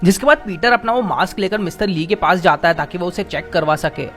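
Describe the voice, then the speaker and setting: High, fast-talking male recap narrator. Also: a bit bright, faint music behind. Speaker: narrator recapping a game story. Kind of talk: scripted plot-recap narration.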